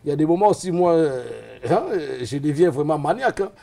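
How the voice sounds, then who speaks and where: A man's voice: on the high side, fast talker, mellow. A man born in Liège, talking as a guest in a casual interview, rambling and hesitant with many fillers. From Liège, Belgium.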